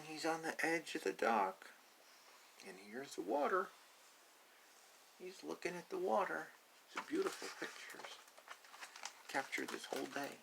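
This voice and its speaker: Male storybook reader, very lively pitch, creaky bright voice, simple repeated explanations, faint background noise. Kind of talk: read-aloud for young children with asides.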